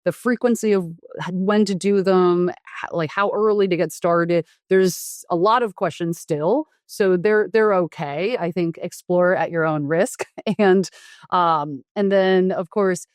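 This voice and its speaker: Frank, fairly low-voiced woman doctor. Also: no echo, studio quality. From Taiwan. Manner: frank, explanatory, with frequent fillers and restarts. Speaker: doctor and guest. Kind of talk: conversational interview.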